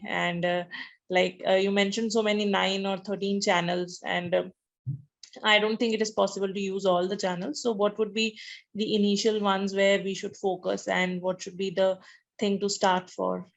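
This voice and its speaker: A woman founder asking a webinar question over a video call, halting and searching for words with "like" and "you know". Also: medium pitch, level tone, vocal fry.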